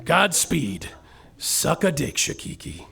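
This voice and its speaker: An actor playing a cocky, swaggering space detective who narrates his own story deadpan and pleads frantically, on a live theater stage. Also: fairly high pitch, slow, creaky, heavily acted. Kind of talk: comic musical podcast narration. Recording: guitar music behind a live stage.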